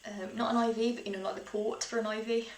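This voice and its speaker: A woman's voice: fairly high pitch, level tone, fast. A young British woman telling personal stories in a casual, chatty, informal way, as a vlogger would. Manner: chatty, informal, with small laughs through sentences. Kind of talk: casual personal storytelling to camera. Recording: roomy echo, faint background noise.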